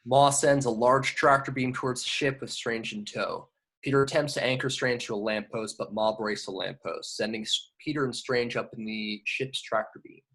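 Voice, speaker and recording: A man's voice: mid-range voice, fairly even intonation, moderate pace, fairly bright tone. A man narrating scripted action directions in an amateur table read of a film. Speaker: narrator reading stage directions.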